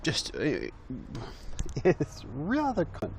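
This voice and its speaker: A man's voice: fairly high pitch, huge pitch swings, drawn-out words, creaky, a little mellow, slight background noise. A man giving exasperated, mocking reaction commentary on a video, laughing through his remarks.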